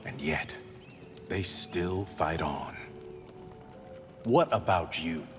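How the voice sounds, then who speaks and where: An actor playing a wise, hopeful human refugee in a video game, wry, philosophical and encouraging. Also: male voice, deep voice, lively intonation, drawn-out words, big-room sound, faint music behind, exaggerated voice. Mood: earnest. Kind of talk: video game cutscene acting.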